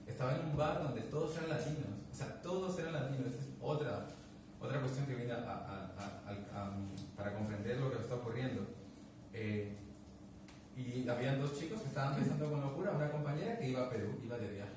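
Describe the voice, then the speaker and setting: A man in casual conversation, telling anecdotes and acting out the dialogue, with many restarts. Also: mid-range voice. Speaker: guest speaker. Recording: echoey room, distant mic, background noise.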